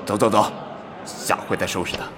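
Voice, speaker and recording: A man playing a rough, bullying, territorial beggar thug in a Chinese audio drama, snarling threats. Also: fairly low pitch, steady tone, brisk, natural voice. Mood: aggressive. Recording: noisy crowd clatter behind.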